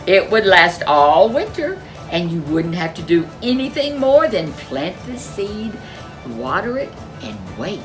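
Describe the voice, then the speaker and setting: A woman's voice: mid-range voice, big pitch swings, unhurried, faint music behind. A woman voicing a clever little male leprechaun who pleads shrilly to be let go. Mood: sly.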